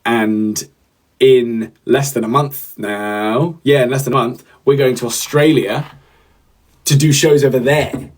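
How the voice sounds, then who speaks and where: Male podcast host, young, loose and rambling, natural speaking pitch. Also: fairly even intonation, unhurried. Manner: rambling, chatty, many fillers and restarts. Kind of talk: casual livestream chat, reading the chat.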